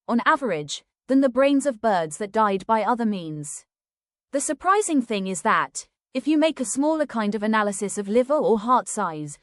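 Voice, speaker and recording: A woman's voice: a little high, no room sound, clean studio sound. A woman reading scripted passages aloud as narration. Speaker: reader of practice passages.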